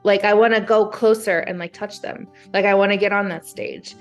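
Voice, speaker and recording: A woman in a casual brainstorming chat, talking in an animated way and acting out her thoughts as she goes. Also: medium pitch, moderate pace, faint music behind. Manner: animated, acts out imagined thoughts and exclamations. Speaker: guest being coached through writer's block.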